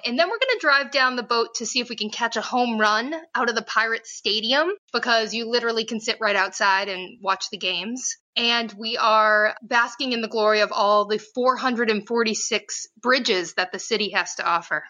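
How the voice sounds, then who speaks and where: A woman's voice: a little high, moderate pace, hardly any pauses, bright, forceful tone. A young woman from Boston, a cardiology fellow guest, chatting cheerfully. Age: young adult. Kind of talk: casual podcast conversation.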